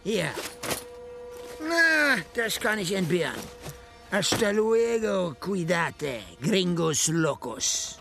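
An actor playing a mocking, cocky drifter with a drawling, sneering manner in a German western radio drama. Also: male voice, sits high, sing-song, takes it slowly, vocal fry, over music, exaggerated voice. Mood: smug, sly. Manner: sneering, drawling, chuckling between phrases.

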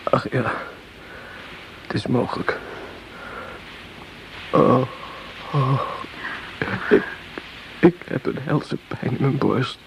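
An actor playing a wounded, feverish young communard in a radio drama, speaking haltingly and groaning in pain. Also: male voice, mid-range voice, vocal fry. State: in pain, weak. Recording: noisy old radio drama recording.

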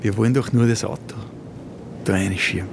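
An actor playing an edgy, defensive amateur hostage-taker with an Austrian accent and a gruff colloquial manner in a radio drama. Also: male voice, on the low side, steady tone, brisk, noisy background.

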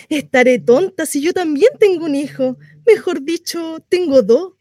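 A woman plays a naive, lonely housewife in a radio monologue. Her voice is warm and mellow, in a very dry close recording. She rambles confidingly, insisting cheerfully on her good life and turning coy over delicate matters. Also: high-pitched, no echo, voice pushed up, lightly acted. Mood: chatty, boastful. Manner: gossipy, rambling, confiding, coyly hesitant. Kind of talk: theatrical monologue read for radio.

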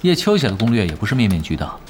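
Casting: cocky, easygoing young male gaming veteran, audio drama, brisk and varied delivery, music behind. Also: on the low side, big pitch swings, darkish tone, slight background noise. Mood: self-assured. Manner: casual, teasing, self-assured.

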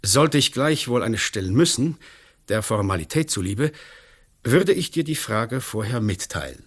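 A middle-aged examining magistrate in a radio drama, speaks at a medium pitch. He is formal and uneasy, choosing measured, official phrases. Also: animated, slightly rough. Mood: formal, placating. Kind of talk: German radio play acting.

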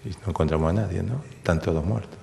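An older Argentine man with an extremely low, creaky voice. He recalls events haltingly, as an interviewee giving testimony, and the sound rings with long reverb. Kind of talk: halting spoken recollection.

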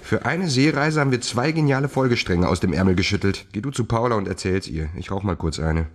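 A man's voice: lowish voice, animated, brisk. An actor playing a laid-back male scriptwriter who talks in a casual, joking way in a radio play.

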